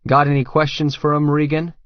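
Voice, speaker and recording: A man's voice: fairly high pitch, monotone, brisk, dry recording, dull audio, voice pushed up, natural voice. A man voicing a tough, sardonic fighter who questions a captive in an audiobook, wry and commanding. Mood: curt. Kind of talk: audiobook character dialogue.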